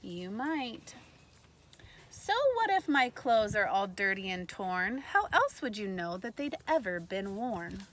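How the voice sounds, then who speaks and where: A woman teacher reading a storybook aloud to kindergartners in an animated way, pausing to put questions to her listeners. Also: mid-range voice. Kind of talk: read-aloud lesson for young children. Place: outdoors in a backyard tree fort among trees. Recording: faint outdoor ambient noise.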